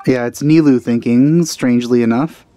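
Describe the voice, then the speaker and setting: A man's voice: medium pitch, moderate pace, dark tone. A man doing casual let's play commentary, dropping dry, wry asides close to the microphone.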